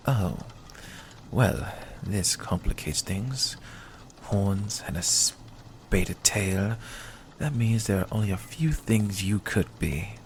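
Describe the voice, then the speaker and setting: A smug, predatory vampire, acted by a man in an ASMR roleplay. The voice is fairly low and crackles with fry, drawing words out slowly. He teases and coaxes his prey with hypnotic menace. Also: expressive. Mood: startled, challenging. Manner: slow, teasing, menacingly coaxing, sometimes whispering.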